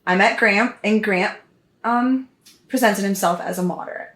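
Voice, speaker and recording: A woman's voice: mid-range voice, normal speed, a bit bright. An American woman of about thirty chatting casually and telling personal stories in a rambling, sweary way. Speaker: podcast guest. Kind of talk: casual chat, personal storytelling. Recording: roomy, echoing sound.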